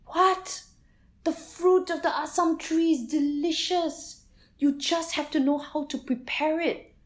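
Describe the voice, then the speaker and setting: A woman voicing a horrified village man who shouts in alarm and then urgently pleads. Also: slow pace. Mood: urgent, persuasive. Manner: shouted exclamations, high and strained.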